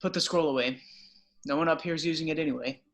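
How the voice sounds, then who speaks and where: A man's voice: on the high side, quick pace, fairly bright tone. A man reading a stern scolding rabbi father. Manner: scolding, lecturing. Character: stern middle-aged male rabbi father. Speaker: table read actor. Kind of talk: amateur table read.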